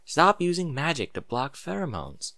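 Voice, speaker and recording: Man voicing a lively, serene pony princess. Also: on the high side, moderate pace, dry recording, clean studio sound, heavily acted. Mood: gentle, encouraging. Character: serene, kindly motherly pony princess, wise mentor.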